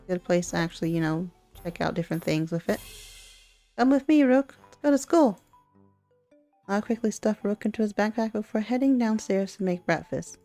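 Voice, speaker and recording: A woman with a soft, mellow voice narrates a visual novel playthrough. She reads expressively and slips into casual asides, with faint music playing behind her. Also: mid-range voice. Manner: expressive read-aloud with chatty asides. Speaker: gaming video creator reading a visual novel aloud.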